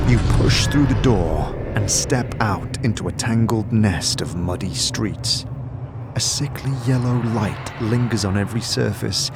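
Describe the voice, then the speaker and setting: A man's voice: lowish voice, creaky, heavy background noise. A man giving scripted, second-person narration for a fantasy audio drama as its storyteller. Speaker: narrator and game-master storyteller.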